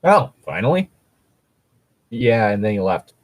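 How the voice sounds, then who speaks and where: Husky guy goofing around on a podcast, swears a lot, rambly and giggly, voice goes all over the place. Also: lowish voice, very animated, measured pace. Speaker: co-host of a podcast. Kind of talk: casual banter, riffing and joking.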